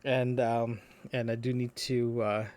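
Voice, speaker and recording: A man recording a casual, unscripted audio diary as a podcaster, talking in a rambling, halting way with trailing asides. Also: mid-range voice, level tone, a little mellow.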